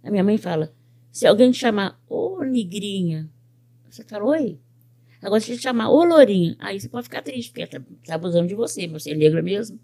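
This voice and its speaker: An older woman from Brazil, a pet groomer and business owner, telling her life story in an interview with lively quoted speech. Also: medium pitch, brisk. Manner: animated storyteller who quotes other people's words.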